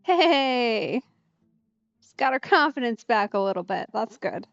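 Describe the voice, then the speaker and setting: A woman's voice: fairly high pitch, very animated, unhurried, creaky, fairly bright tone, no echo, muffled recording. A woman gaming streamer giving casual, chatty, reflective let's play commentary.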